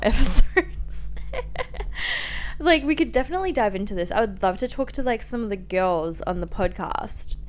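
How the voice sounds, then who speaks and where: A woman's voice: mid-range voice, a little hiss or hum. A woman in her twenties with an Australian accent, telling personal stories in a candid, casual podcast style full of "like" and informal slang. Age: 20s. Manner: candid, informal, liberal filler "like" and swearing. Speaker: podcast host. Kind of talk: casual chatty solo podcast storytelling.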